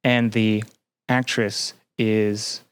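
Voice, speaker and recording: A man with a slightly low voice talks as a guest on a casual podcast. He explains things thoughtfully, pausing and feeling for his words in a halting way. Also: level tone, smooth, no echo. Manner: hesitant, halting, thoughtful phrasing. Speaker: podcast guest, game developer and researcher.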